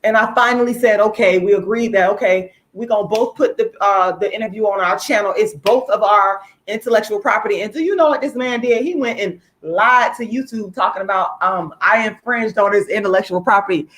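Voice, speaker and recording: A woman hosting a casual livestream, speaking to viewers in an informal, outspoken, emphatic way with a slightly hoarse voice. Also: mid-range voice, brisk, hardly any pauses, fairly bright tone, rough recording. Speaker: livestream host, activist.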